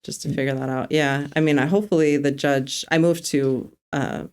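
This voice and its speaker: A woman's voice: sits low, steady tone, normal speed, darkish tone, clear, resonant tone. A woman who is a guest attorney on a casual podcast, blunt and outspoken in her remarks.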